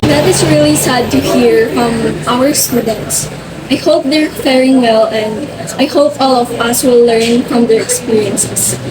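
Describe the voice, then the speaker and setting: A woman's voice: a little high, steady tone, measured pace. A woman hosting a school talk show, reading polite scripted links between segments. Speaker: student talk show host. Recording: distant mic with loud background noise.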